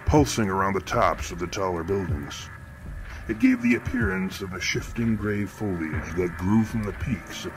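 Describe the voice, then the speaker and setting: A low, deep voice as a sinister artist-murderer telling his own horror tale. He is pompous and florid, weighing his phrases with theatrical menace. Prominent music plays behind the narration. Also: low-quality recording. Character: grandiose, sinister male killer who sees murder as art. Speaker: narrator of a horror fiction podcast. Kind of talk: scripted first-person horror narration, theatrical.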